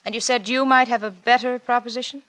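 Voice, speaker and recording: An actress playing a cunning, coolly blackmailing wife in a 1940s radio suspense drama, with a coy, teasing, insinuating manner. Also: female voice, on the high side, fairly even intonation, fast, fairly bright tone, close to an everyday voice, poor audio. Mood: coolly calculating. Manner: coy, teasing, insinuating drawl with theatrical swoops.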